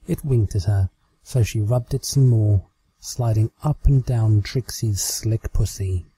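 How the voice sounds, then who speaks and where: A man's voice: lowish voice, unhurried, warm tone, husky, low-quality recording. A British man reading a story aloud as a scripted amateur narration. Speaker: amateur fan fiction reader.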